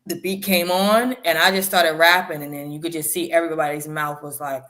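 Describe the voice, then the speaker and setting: Rapper guest, female, 30s, low pitch, lively storyteller. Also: brisk. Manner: animated, chatty storyteller. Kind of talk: interview storytelling.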